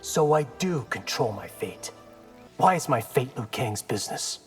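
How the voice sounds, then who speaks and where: An actor playing a brash, defiant young ninja in a video game, cocky and sardonic. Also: male voice, mid-range voice, lively intonation, a little slow, soft background music, natural voice. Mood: questioning.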